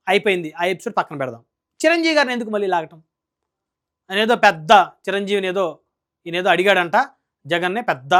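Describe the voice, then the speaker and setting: A man's voice: sits high, sharp tone. A man giving opinionated political news commentary in an emphatic, scolding manner, full of rhetorical questions.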